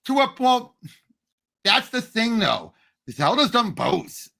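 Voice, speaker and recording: Very high-pitched, creaky-voiced man chatting. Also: very animated, takes it slowly, pushed and bright. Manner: emphatic, repeats phrases eagerly. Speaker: podcast panelist. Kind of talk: casual movie discussion.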